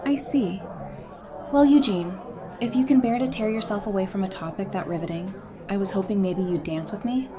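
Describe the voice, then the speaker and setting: Audio drama role: woman plays playful, self-assured young woman, teasing delivery, mid pitch, over a busy party. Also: normal speed, natural voice. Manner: teasing, wry. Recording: music and party chatter behind. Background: music and crowd chatter.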